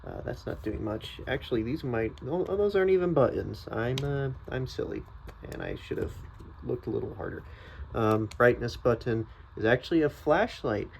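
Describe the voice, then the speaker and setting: A man's voice: mid-range voice, lively intonation, moderate pace, vocal fry, a little hiss or hum. A man giving a casual, unscripted product review, thinking aloud in a hesitant, tentative way.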